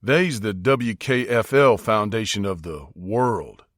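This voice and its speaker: A male narrator plays a folksy countryman with a rural American drawl. He is unhurried and plain-spoken, a calm leader in an audiobook tale, and his pitch moves freely in a close, dry recording. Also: no echo. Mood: wry, folksy.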